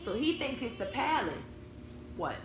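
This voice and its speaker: A woman reads a storybook to small children in an expressive, explanatory way. Her voice is fairly high, with wide, lively swings in pitch. It is recorded in an echoey room. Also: moderate pace, over loud music. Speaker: mother reading to her young children. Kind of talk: storybook read-aloud with simple explanations and questions. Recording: echoey room with background noise.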